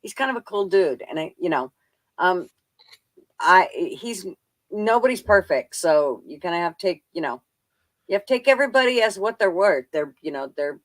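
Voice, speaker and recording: A woman's voice: on the low side, expressive, moderate pace, no echo. A middle-aged woman in casual live stream chat, rambling and swearing freely in a blunt, folksy way.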